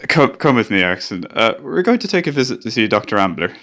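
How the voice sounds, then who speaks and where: A man's voice: on the low side, very animated, fast, a bit bright. A man voicing a practical, earnest chief engineer in a radio play who also narrates and soothes the crew. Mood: coaxing. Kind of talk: amateur radio drama acting.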